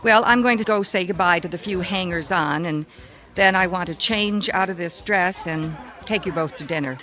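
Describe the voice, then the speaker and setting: A woman plays an exasperated, efficient handler of a difficult star in a radio drama. Her voice is bright and forceful, and her delivery is brisk and dry. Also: fairly low pitch, soft background music, natural voice. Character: weary, efficient woman minder of a difficult celebrity author. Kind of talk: radio mystery drama acting.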